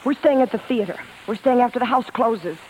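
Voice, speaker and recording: An actress playing a proud, lovestruck, bossy singing star in 1950s radio drama, imperious yet easily flustered. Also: female voice, on the high side, animated, darkish tone, lightly acted. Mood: angry, commanding. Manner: imperious yet flustered, halting when nervous. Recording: hissy, muffled 1950s radio broadcast.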